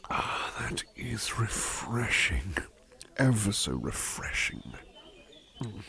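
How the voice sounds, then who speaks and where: Give the voice of a devoted butler , acted by a man, in a gentle ASMR roleplay. He speaks slowly with a gravelly, creaky edge, courteous and tender. His intonation swoops freely as he softly reassures the listener. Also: fairly low pitch, sing-song, exaggerated voice. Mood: attentive, courteous. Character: devoted, gentle, polite male butler companion. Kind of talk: ASMR roleplay, soft personal attention.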